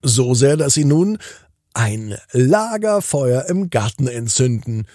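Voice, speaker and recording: A man narrating a scripted bedtime story for children, in short simple phrases with deliberate pauses. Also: medium pitch, very animated, dark tone, dry recording, clean studio sound.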